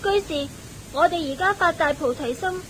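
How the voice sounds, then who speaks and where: An actress playing an eager, respectful young celestial maiden in a Hong Kong Cantonese radio drama, earnest and inquisitive. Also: female voice, normal speed, fairly bright tone. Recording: hissy, rumbly old radio recording.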